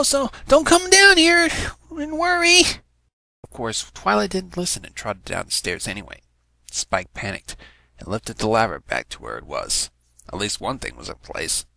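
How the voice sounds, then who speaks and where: A man's voice: sits low, creaky, bright, forceful tone, no room sound. A man giving a scripted narration of a story as an amateur reader. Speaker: amateur fan fiction reader.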